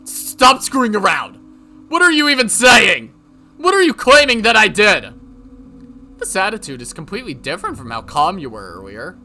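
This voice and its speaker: A man's voice: a little high, huge pitch swings, fast talker, hardly any pauses, slight background noise, full character voice. A man voicing an unhinged male freelance writer in a visual novel, theatrical and volatile. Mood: frightened, angry. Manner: shouting, wailing, taunting, theatrical. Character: unhinged male freelance writer, shifting from panic to cold menace.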